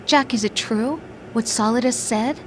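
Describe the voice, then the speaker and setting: A woman's voice: a little high, unhurried, a little hiss or hum, lightly acted. A woman playing a worried young partner. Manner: worried and pleading. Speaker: game character. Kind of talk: video game cutscene dialogue. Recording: game audio with faint music.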